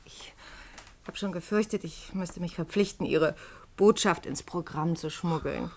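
A woman's voice: lowish voice, measured pace. Sceptical, probing female reporter. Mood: candid, probing. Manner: direct, probing, wryly teasing. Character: sceptical, curious, plucky female radio reporter. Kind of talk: German radio play acting.

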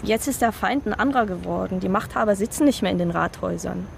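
A wistful former radical in a radio drama, talking at a middle pitch in earnest, thoughtful speeches. A faint street hum sits behind her. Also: fast talker, creaky. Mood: reflective. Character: disillusioned older ex-radical woman, wistful.

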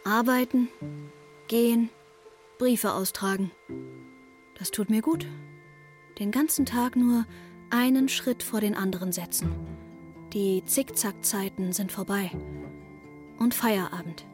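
Actress as introspective young woman over soft music. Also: mid-range voice, takes it slowly, long pauses, natural voice. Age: 20s. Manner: intimate, reflective inner monologue. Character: introspective, restless young Berlin woman in her twenties. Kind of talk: radio drama first-person narration.

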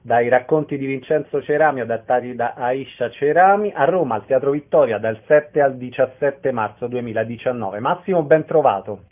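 A man's voice: medium pitch, normal speed, hardly any pauses. A man presenting a guest on radio and asking questions. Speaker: radio interviewer. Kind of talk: radio interview introduction. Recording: narrow phone-like sound.

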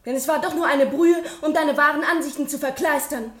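Defiant young daughter, high voice, passionate, blunt, radio drama, roomy echo. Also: female voice, brisk, a bit bright. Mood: scornful. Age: young adult. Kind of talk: German radio play acting.

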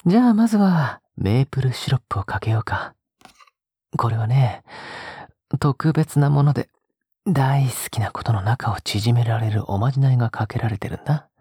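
A male actor playing a possessive young captor in an intimate audio drama, coaxing softly and often slipping into a whisper. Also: mid-range voice, expressive, normal speed, no echo, clean studio sound. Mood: doting, obsessive. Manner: soft, coaxing, often dropping to a breathy whisper. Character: possessive, sickly-sweet young male captor doting on a pet-like girl. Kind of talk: intimate audio drama acting, spoken to the listener.

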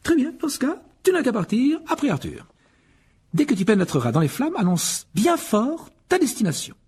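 A man voicing a fussing, doting middle-aged witch mother in a storybook reading, high and anxious, with a raspy voice. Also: big pitch swings, normal speed, a little mellow, exaggerated voice. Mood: instructing.

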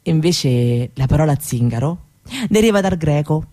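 A woman playing a candid, proud seventeen-year-old Roma girl in a radio monologue, with a Roman Italian accent and halting colloquial talk that often seeks agreement. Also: low-pitched, expressive, warm tone. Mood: chatty, earnest. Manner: colloquial, halting, seeking agreement with little tag questions. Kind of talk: conversational theatrical monologue for radio.

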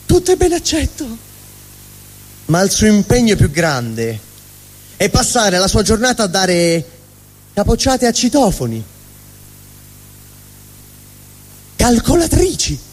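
A man's voice: high-pitched, wildly swooping pitch, measured pace, pauses often, heavily acted. A man performing a theatrical comic stage monologue as an angry, vulgar but honest middle finger, ranting sardonically. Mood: sardonic, scornful. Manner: ranting, sardonic, swooping between mutter and shout. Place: live stage at a fundraising event. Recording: echoey hall reverb, live stage.

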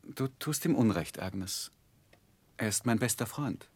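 Deep-voiced earnest gentleman recalling his youth. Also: animated, no room sound. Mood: earnest, defensive. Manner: measured, reflective, literary. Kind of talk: 1960s German radio drama narration and acting.

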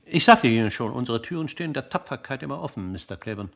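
An actor playing a proud, indignant father and doctor in a radio drama, formal and reproachful, with a gravelly voice. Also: medium pitch, animated, fast, a bit bright. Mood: cold, indignant.

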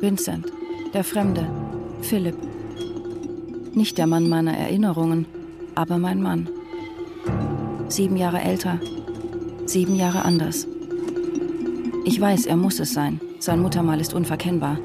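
Haunted wife narrating, music underneath. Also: female voice, on the low side, steady tone, a little slow, vocal fry, pauses often. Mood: stunned, introspective. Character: haunted wife Sarah narrating her husband's uncanny return. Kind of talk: literary radio drama narration. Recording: music behind the voice.

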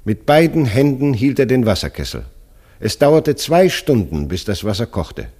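A man's voice: mid-range voice, very animated, moderate pace, a little mellow. A man narrating a literary radio play as a scripted story.

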